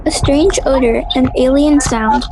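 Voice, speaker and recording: A woman giving halting, suspenseful scripted narration of a horror story for an audio drama. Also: sits high, poor audio.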